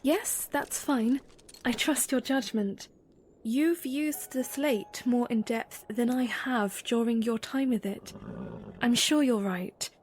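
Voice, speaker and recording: A woman playing an earnest, gentle young princess in an audio drama, speaking in a soft, tentative, measured manner. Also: a little high, a little hiss or hum. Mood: gentle, questioning.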